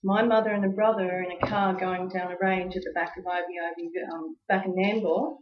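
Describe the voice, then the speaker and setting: A woman's voice: on the low side, steady tone, fairly quick, background noise. A woman interviewing an elderly man for a family book, chatty and encouraging, with many "um" fillers. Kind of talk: oral history interview. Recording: echoey room, dull lo-fi tape.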